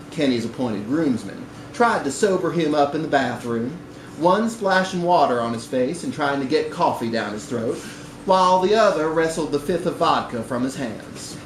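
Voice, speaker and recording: A man with a slightly high voice reads a short story to a live event in an expressive narrating style. The sound is very roomy, with some background noise from the bar. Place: indoor bar reading event.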